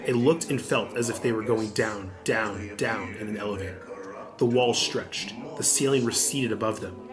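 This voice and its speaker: A man's voice: fairly low pitch, normal speed, over music. A man narrating a scripted adventure audiobook as the storyteller.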